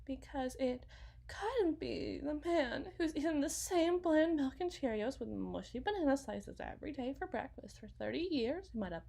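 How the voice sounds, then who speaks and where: A woman playing an anxious, fragile wife and mother in an ASMR roleplay, rambling haltingly and correcting herself as if blaming herself. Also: high voice, animated, vocal fry, warm tone. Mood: bitter, resigned. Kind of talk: ASMR dramatic monologue roleplay.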